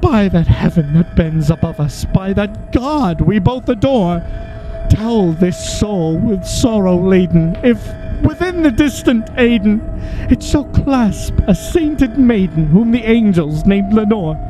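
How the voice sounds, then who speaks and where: A man's voice: high voice, expressive, over music, voice pushed up, low-quality recording. A man playing a haunted, desperate, grieving scholar in an impassioned, declamatory radio-play poetry performance. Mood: desperate pleading. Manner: impassioned, declamatory, dramatic pauses.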